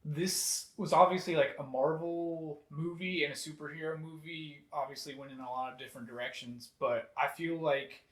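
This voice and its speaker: A man's voice: on the high side, steady tone, normal speed, hardly any pauses, fairly bright tone. A young man talking casually on a film review panel, rambling with many fillers. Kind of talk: casual group chat. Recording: roomy echo.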